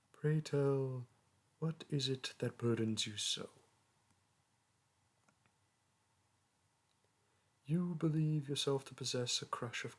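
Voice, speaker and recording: Guy doing a snooty dragon professor, mid pitched male, super formal old-timey talk, looks down on humans, roleplay audio. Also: close to an everyday voice. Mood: dry condescension. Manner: pompous, archaic formal diction, disdainful. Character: haughty young male dragon teacher, condescending toward humans. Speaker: teacher. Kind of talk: roleplay audio monologue to a listener.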